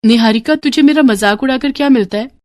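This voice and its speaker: A woman's voice: high-pitched, fast, darkish tone, no echo, studio quality, pitched above the performer's own voice, natural voice. A woman voicing a meek, sorrowful young outcast stepdaughter in a storybook tale, pleading and plaintive.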